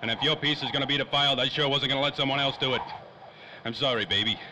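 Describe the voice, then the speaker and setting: A man's voice: mid-range voice, fairly even intonation, fast talker, noisy background, natural voice. A man playing a hardboiled, wisecracking private detective in a 1930s-style radio drama, with clipped tough-guy talk. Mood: grieving, tender.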